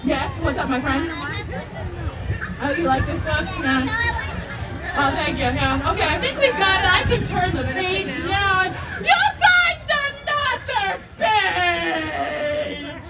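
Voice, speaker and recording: A young woman hosting a live children's story time, projecting to a crowd and coaxing them along in an animated way. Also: high-pitched, a little slow, pauses often, loud music behind the voice. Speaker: theme park story time host. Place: outdoor theme park stage. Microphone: audience phone camera from several meters. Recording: distant phone recording, noisy outdoor crowd, echoey.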